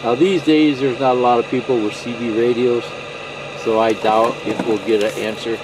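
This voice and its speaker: A man's voice: mid-range voice, fairly even intonation, normal speed, noisy background. A man giving a casual, plain-spoken how-to explanation in a conversational way. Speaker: product demonstrator.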